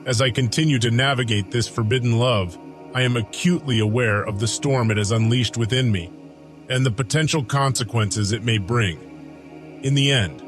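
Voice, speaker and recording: A man voicing a brooding, lovestruck ancient god in a dramatic audiodrama monologue, speaking gravely and formally in measured phrases with weighty pauses. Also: medium pitch, lightly acted. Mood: grave, troubled. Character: lovestruck, brooding Anunnaki god, solemn and defiant. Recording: music behind the voice.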